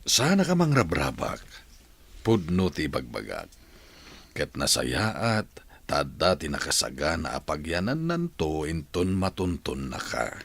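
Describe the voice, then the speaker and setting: An actor playing a caring, reassuring friend in a radio drama, earnest and consoling, with an Ilocano accent. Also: male voice, rumbling low, huge pitch swings, measured pace, gravelly, fairly bright tone, slightly rough, exaggerated voice. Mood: encouraging. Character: caring, reassuring male friend of a troubled woman.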